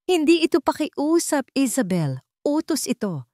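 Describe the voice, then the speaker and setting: A woman acts out a demanding, commanding mother in a radio drama tale. Her pitch is raised and swings widely as she presses guilt on her grown daughter, in a dry, close recording. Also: sing-song, moderate pace, mellow, no echo. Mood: harsh, insistent. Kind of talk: Tagalog radio drama storytelling.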